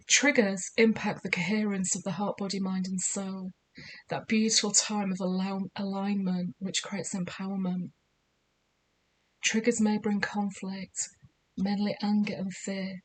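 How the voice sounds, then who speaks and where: A woman with a slightly croaky voice giving spiritual guidance in a measured, soothing, deliberate way. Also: mid-range voice, slight background noise, low-quality recording. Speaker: spiritual guide. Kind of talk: scripted spiritual guidance talk.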